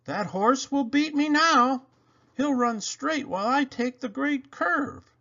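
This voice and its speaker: A man voicing an eager, boastful young locomotive in a children's storybook, with excitable, exclamatory delivery. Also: extremely high pitch, slow, dull audio, pitched above the performer's own voice, full character voice. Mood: frustrated. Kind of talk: children's storybook character reading.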